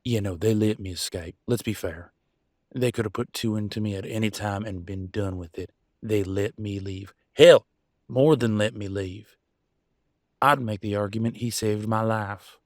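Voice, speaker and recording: A man playing a conflicted werewolf pack alpha in an audio roleplay, arguing emphatically in colloquial, sweary speech with dramatic pauses. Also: on the low side, no room sound, clean studio sound, close to an everyday voice. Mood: insistent. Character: Damien, conflicted male werewolf pack alpha, defiant and remorseful. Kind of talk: scripted audio roleplay, one side of an argument.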